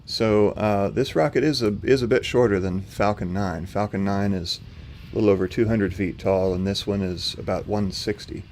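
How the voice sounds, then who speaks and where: Calm low-voiced man hosting a livestream. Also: a little hiss or hum. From not from North Carolina. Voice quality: slightly rough voice. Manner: calm, level, unhurried with fillers. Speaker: live stream host. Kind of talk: casual livestream commentary answering chat. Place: home.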